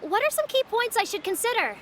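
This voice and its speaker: Eager young townswoman, very high, bright, faint noise. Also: sing-song, quick pace, heavily acted.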